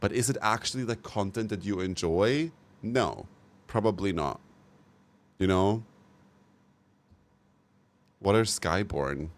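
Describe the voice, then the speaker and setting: A man's voice: lowish voice, takes it slowly, pauses often. A man streaming live, reacting bluntly to game news and giving opinionated takes. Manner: swears casually. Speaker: livestreamer. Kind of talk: casual live-stream commentary.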